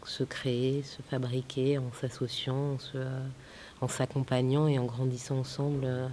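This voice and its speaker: Réalisatrice hésitante, souffle léger en fond. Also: female voice, extremely low pitch, flat delivery, normal speed, dark tone. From France. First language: Italian. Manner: hesitant, many fillers and restarts, thoughtful. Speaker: film director. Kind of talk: festival interview. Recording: faint hiss.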